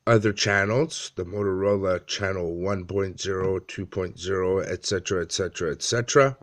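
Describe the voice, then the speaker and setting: A man in his thirties with a fairly low voice talks through a how-to at a very slow pace. His delivery is casual and plain, broken by frequent pauses, as in a homemade tutorial. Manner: halting, plain-spoken, pauses often. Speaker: amateur tutorial presenter. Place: small dim room indoors.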